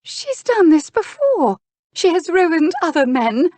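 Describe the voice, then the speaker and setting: A woman's voice: a little high, sing-song, moderate pace, no room sound, pitched above the performer's own voice. A woman voicing a haughty, indignant duchess and mother in a historical romance audiobook, dramatic and scornful. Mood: horrified, accusing.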